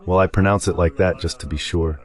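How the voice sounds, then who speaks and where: A man's voice: low voice, steady tone, a little fast. A man giving conversational, explanatory military commentary as a guest analyst, in a translated voice-over. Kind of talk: live war commentary read as an AI voice-over translation.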